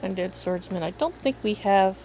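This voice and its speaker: A woman streaming a video game live, slightly hoarse from a cold, chatting with viewers and thinking aloud as she plays. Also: medium pitch, moderate pace, a little mellow, a little hiss or hum. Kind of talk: casual live gameplay commentary.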